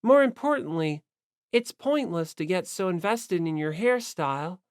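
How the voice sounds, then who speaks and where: A man voicing a cool, composed teenage girl in an audiobook, blunt, flat and matter-of-fact. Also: sits high, moderate pace, no echo, studio quality, pitched above the performer's own voice. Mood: calm.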